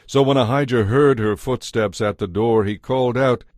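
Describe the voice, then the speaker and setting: A man giving measured, formal scripted narration for a dramatized Bible. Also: medium pitch, a little mellow, no echo, studio quality. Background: music.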